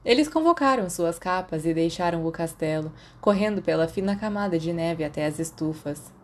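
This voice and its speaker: A woman's voice: lowish voice, expressive, moderate pace. A Brazilian woman reading scripted fiction aloud as an audiobook narrator.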